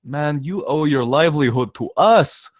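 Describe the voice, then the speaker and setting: A man's voice: a little high, lively intonation, measured pace, no echo, clean studio sound. A man at a screenplay table read playing a brash, cynical saboteur who talks in emphatic, profane slang. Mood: coolly persuasive. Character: cynical, brash zealot saboteur posing as safety inspector.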